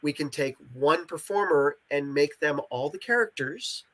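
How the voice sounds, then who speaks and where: Male game creator in a casual interview: stuffy-nosed, slightly high voice, forceful and bright, keen explainer. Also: lively intonation, normal speed. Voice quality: congested, nasal voice.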